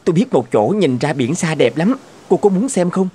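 A man's voice: a little high, moderate pace, slightly rough. A man voicing a kind, earnest young hero in a cartoon fairy tale. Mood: friendly. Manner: earnest, animated. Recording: loud music under the voice.

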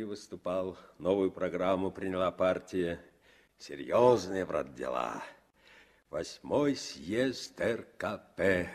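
An actor playing a friendly, earnest navy commissar who explains things simply and patiently in a 1960s Soviet radio drama. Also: male voice, sits low, a little mellow, heavily acted.

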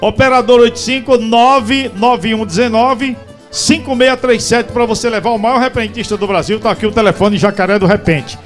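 A man's voice: very high-pitched, steady tone, normal speed, faint music behind. A middle-aged man with a Northeastern Brazilian accent hosting a TV show, jocular, teasing and exclamatory, on a handheld studio microphone. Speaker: TV show host. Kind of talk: lively studio banter.

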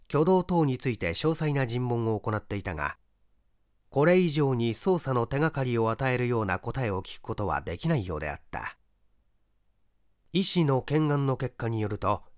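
A man reading a literary mystery as dramatised narration, in a formal, measured storytelling manner. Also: mid-range voice, dry recording, studio quality. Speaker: audiobook reader, stage actor.

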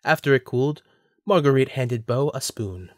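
A man's voice: medium pitch, animated, moderate pace, no echo, studio quality. A man narrating a scripted story for an audio drama.

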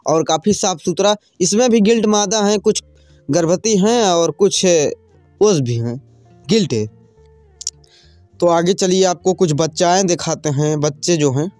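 A man's voice: high-pitched, a little slow, a little mellow, dry recording, faint music behind. A man narrating a casual farm vlog, informally addressing viewers as friends. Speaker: farm video channel host. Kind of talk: casual vlog narration, showing livestock for sale.

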